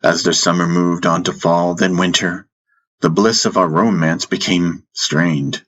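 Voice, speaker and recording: A man narrating a first-person fantasy audiobook in a measured way, with dramatic pauses. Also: sits low, little pitch movement, fairly bright tone. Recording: music bed under the voice. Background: background music.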